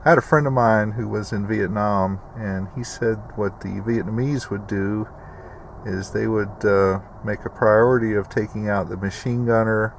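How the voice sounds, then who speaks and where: A man's voice: on the low side, gravelly. A middle-aged American man giving a casual, rambling, folksy walkthrough of gear as a video host. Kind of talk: casual explanatory product walkthrough. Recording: noticeable background vehicle and ambient noise.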